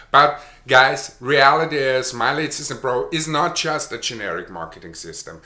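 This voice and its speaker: A man's voice: mid-range voice, bright, forceful tone, echoey room. A middle-aged man with an Austrian accent, telling his own story casually to the camera, with frequent restarts and fillers. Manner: chatty, repeats words and restarts with many uh's. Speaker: online marketer.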